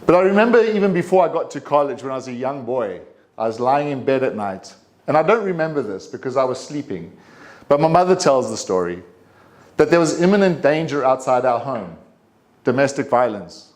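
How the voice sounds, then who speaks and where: A man's voice: medium pitch, very animated, brisk, darkish tone. South African man telling a personal story. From Durban, South Africa. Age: 50s. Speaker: club speaker giving a personal speech. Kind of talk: prepared personal storytelling speech.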